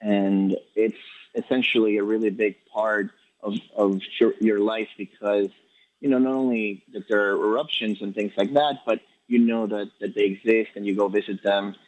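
A man from Ecuador, a poet being interviewed, answering in a quick, halting way with many restarts and fillers. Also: on the low side, fairly even intonation, darkish tone, poor audio. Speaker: poet guest.

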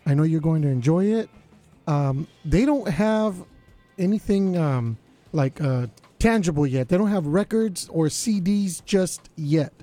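Laid-back man DJ, slightly raspy. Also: fairly high pitch, animated, a little slow, dark tone, soft background music. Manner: laid-back, lots of fillers. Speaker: radio DJ host. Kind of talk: casual radio show chat.

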